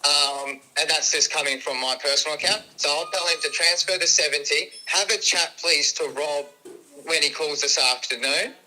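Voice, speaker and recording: A man on a phone call, halting and stammering as he defends himself in a tense argument. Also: on the high side, sharp tone.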